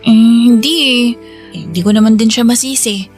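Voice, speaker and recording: A woman's voice: fairly high pitch, moderate pace, a little mellow, soft background music, natural voice. A woman playing an affectionate, grateful young woman in a radio drama, speaking earnestly and tenderly. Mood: wistful. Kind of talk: Tagalog radio drama dialogue.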